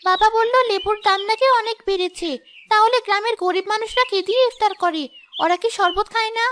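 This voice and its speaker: A compassionate young boy from a cartoon, as a woman voices him. He asks earnest questions in a very high, childlike voice. Faint noise is heard behind it. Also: brisk, sharp tone.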